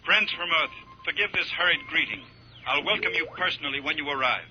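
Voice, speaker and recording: A man's voice: fairly high pitch, expressive, fairly quick, close to an everyday voice. An actor playing an earnest, authoritative man in an old-time radio space serial. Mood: grave, urgent. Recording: noisy, muffled vintage radio transmission sound.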